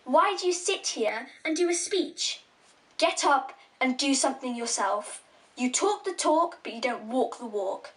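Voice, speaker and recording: A woman's voice: high voice, a bit bright, distant mic. A twelve-year-old girl from England, earnestly challenging world leaders in a recorded speech.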